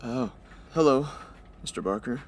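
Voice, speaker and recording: An actor playing a shifty, cowardly dry cleaner, fussy and whining, in a comedic radio-style crime drama. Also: male voice, mid-range voice, animated, a little slow. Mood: whining, nervous.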